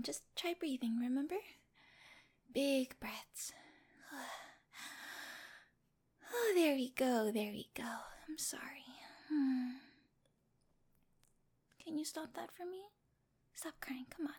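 Woman acting as teasing apologetic woman, audio roleplay, high-pitched, slow-paced, halting, coaxing.

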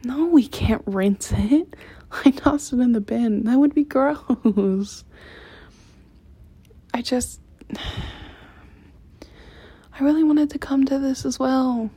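A woman performing an intimate audio roleplay in a soft, close whisper. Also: sits high, slow, long pauses, slightly rough.

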